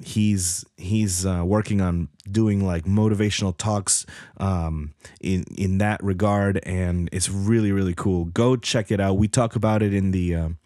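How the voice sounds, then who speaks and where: A man hosting his own podcast, talking casually with a hoarse voice, rambling with lots of fillers and self-corrections. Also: sits low, darkish tone, no echo, studio quality. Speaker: podcast host. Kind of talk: casual solo podcast intro.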